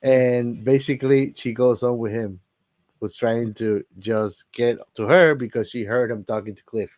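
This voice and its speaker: A man's voice: medium pitch, fairly even intonation, a little slow, a little mellow, no echo. A man co-hosting a podcast, chatting casually and bluntly about movies in slangy, informal language. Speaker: podcast co-host.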